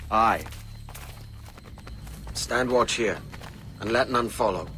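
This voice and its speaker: An actor playing a rugged, weary and earnest pirate captain in a video game. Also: male voice, on the low side, slow pace, sharp tone, a little hiss or hum. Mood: guarded.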